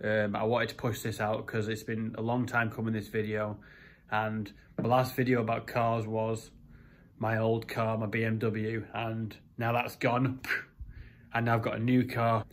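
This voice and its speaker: A man's voice: lowish voice, monotone, unhurried. A British man in his thirties with a cold, sounding nasal, talking casually and rambling in a car vlog recorded in a parked car. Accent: British English. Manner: rambling, with many restarts. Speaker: car vlogger.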